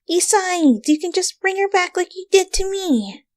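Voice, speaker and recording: A woman's voice: extremely high pitch, expressive, measured pace, a little mellow, no room sound, studio quality, voice pushed up. A woman voicing a brash, lazy, unrepentant young female pony in a story reading, casual and blunt. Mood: unbothered.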